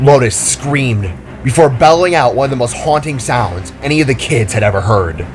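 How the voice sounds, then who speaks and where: A man's voice: mid-range voice, expressive, normal speed, over music. A man narrating a scripted, dramatic story as its storyteller.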